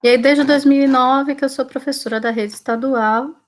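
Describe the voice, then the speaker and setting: A woman's voice: high voice, steady tone, normal speed. A Brazilian woman in her forties from Salvador, Bahia, giving an informal talk as a teacher, conversational and often checking in with tag questions. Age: 40s. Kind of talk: informal online talk about own career.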